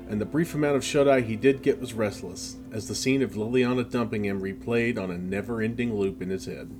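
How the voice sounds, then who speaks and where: A man's voice: medium pitch, a little mellow. A man reading a story chapter as a scripted audiobook narration. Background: background music with guitar.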